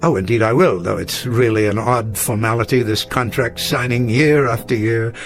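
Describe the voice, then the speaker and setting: A man acts out an elderly schoolteacher in a radio play over a music bed. He is kindly and proud, with a mid-pitched voice. His speech is genteel and hesitant, and it rambles along in an old-fashioned way. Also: moderate pace, natural voice. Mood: fond, proud. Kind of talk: radio drama acting.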